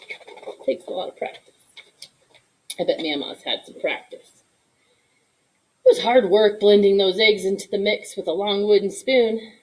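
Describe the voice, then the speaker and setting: A woman reading a children's story aloud in an expressive narration, with asides to young listeners. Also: medium pitch, rough recording. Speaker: storybook reader.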